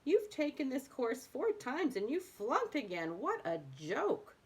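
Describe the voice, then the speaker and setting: A woman's voice: high-pitched, huge pitch swings, measured pace, husky. A woman reading a book aloud expressively, with emphatic phrasing. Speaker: reader of a book aloud for adults.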